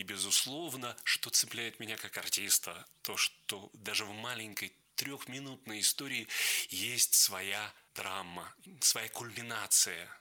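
A male actor speaking earnestly and warmly as a radio guest about his reading work. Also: on the low side. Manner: earnest, heartfelt. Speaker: actor and audiobook reader.

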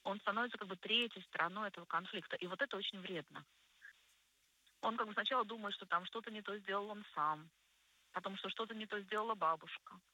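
A woman speaking as a guest psychologist on a radio show, explaining conversationally and deliberately, stressing key words. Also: medium pitch, pushed and bright, no echo, rough recording. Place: radio studio.

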